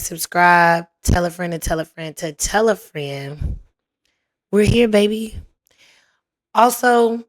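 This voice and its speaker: A young woman hosting her own podcast in casual chat, her voice raspy and hoarse as it recovers, talking playfully with lots of slang. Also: on the low side. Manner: chatty, playful, slangy, drawn-out emphasis. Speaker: podcast host.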